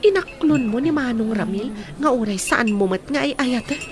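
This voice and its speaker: High-pitched, frank young Filipino woman friend, played by a woman. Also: lively intonation, normal speed, background noise, close to an everyday voice. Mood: concerned, frank. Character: frank, concerned young woman advising her best friend. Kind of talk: radio drama acting.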